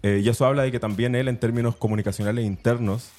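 A man's voice: medium pitch, fairly even intonation, fast, darkish tone. A man with a Chilean accent co-hosting a podcast in a chatty, slangy, enthusiastic way. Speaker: podcast co-host. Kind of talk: casual podcast chat.

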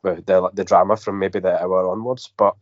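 A Scottish man with a cold and a slightly croaky voice, chatting as a football podcast panelist, stumbling over repeated words. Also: low voice, little pitch movement, moderate pace, dry recording. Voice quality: a bit croaky. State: has a cold. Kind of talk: casual football podcast chat. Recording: dry, dull lo-fi podcast audio.

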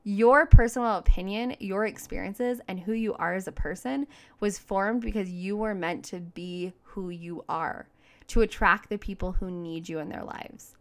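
A woman hosting a motivational coaching podcast on her own. She speaks emphatically in a medium-pitched voice, with an outgoing manner and informal, slangy wording. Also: normal speed. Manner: outgoing, self-described big voice. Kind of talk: motivational solo podcast talk.

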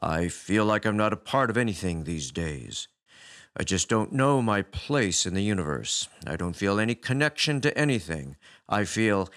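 A man voicing a weary, touchy male space alien who talks like a growling tough guy, deep and gravelly. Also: lively intonation, normal speed, fairly bright tone. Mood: gloomy.

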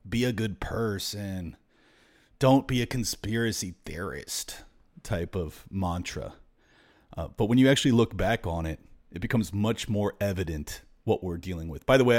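A man hosting his own podcast, slightly raspy today, riffing through an unscripted monologue with plenty of fillers and restarts. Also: on the low side. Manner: rambling, freewheeling rant with fillers. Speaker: podcast host. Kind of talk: casual political monologue.

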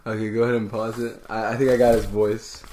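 A man's voice: lowish voice, little pitch movement, fast, a little mellow, roomy sound, slight background noise. A man co-hosting a podcast with casual, joking banter, often interjecting and hamming it up. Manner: playful, interjecting, hams it up. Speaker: podcast co-host.

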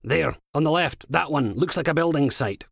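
A man's voice: fairly high pitch, expressive, normal speed, gravelly, breathy edge, no room sound, clean studio sound, pitched above the performer's own voice, heavily acted. A man voicing a bossy, sarcastic, foul-mouthed Scottish female police inspector in an audiobook, brash and impatient. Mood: irritated.

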